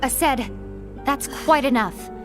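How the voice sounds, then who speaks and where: A woman playing a calm, firm, diplomatic apprentice in an animated fantasy film, speaking formally with music behind her. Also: high voice, slow pace. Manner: composed, formal, reasoning.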